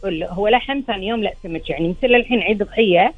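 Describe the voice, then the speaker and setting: A woman's voice: mid-range voice, racing, breathy edge, no echo, phone-quality sound. A woman with a Gulf Arabic accent and a slightly tired, strained voice, chatting as a guest chef and explaining things with rhetorical questions. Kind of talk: chatty interview reminiscence.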